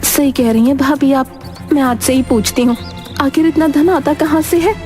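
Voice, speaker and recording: A woman's voice: high voice, normal speed, a little mellow, faint music behind, voice pushed up, close to an everyday voice. A woman voicing a naive, concerned village wife in a cartoon moral story, pleading and questioning. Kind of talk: animated Hindi moral story acting.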